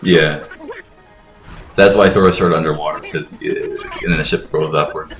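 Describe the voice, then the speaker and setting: A man's voice: extremely low pitch, fairly even intonation, normal speed, echoey room, faint music behind. A young man giving casual, irreverent game commentary, explaining things as he goes. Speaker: gameplay commentator.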